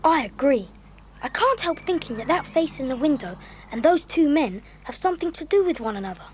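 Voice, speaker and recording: Polite sensible boy in a kids adventure play, high lively voice, some noise behind. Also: fairly quick. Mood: puzzled, earnest. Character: sensible, polite, well-spoken boy leading child adventurers. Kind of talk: children's audio drama acting. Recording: clear background noise with birdsong.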